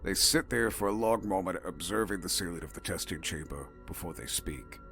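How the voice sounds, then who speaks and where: A man's voice: deep voice, animated, fairly quick, a bit bright, faint music behind. A man reading a formal, clinical log as scripted narration for an audio drama.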